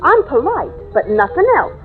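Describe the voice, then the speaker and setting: Young gossipy waitress, slangy and high voiced, old radio thriller, thin phone-like sound with some music under. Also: female voice, very animated, moderate pace. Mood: chatty, gossipy. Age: young adult. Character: chatty young redhead waitress, easily frightened. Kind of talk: 1940s-50s radio drama acting. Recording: tinny vintage radio, music behind.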